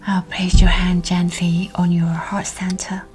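A woman leading a scripted guided meditation in a hushed, breathy, near-whispered voice, soothing and measured. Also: on the low side, level tone, faint music behind.

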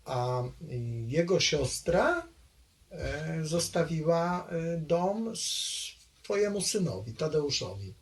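An older man recalling his family history in a halting way, full of pauses and hesitations. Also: on the high side, very animated. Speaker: interviewee, longtime local resident. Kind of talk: oral history recollection.

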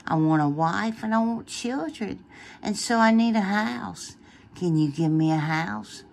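A once-young boy, now worn and tired, asks for more, read by a woman. The delivery is slow and plain, with a gravelly fry. It is a storybook voice, not a big act. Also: mid-range voice, a little mellow. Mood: wanting. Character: boy grown into a tired, wanting man.

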